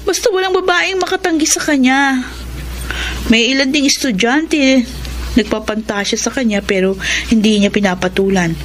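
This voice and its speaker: A woman's voice: high-pitched, normal speed, slight background noise, close to an everyday voice. An actress with a Filipino accent playing a gossipy young female confidante in a radio drama. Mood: gossipy, teasing.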